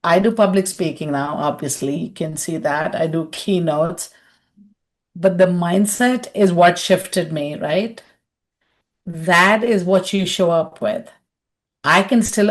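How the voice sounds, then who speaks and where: A woman's voice: lowish voice, measured pace. A middle-aged woman from India answering a question in a webinar, talking conversationally and acting out quoted voices over a video call. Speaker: webinar speaker and consultant.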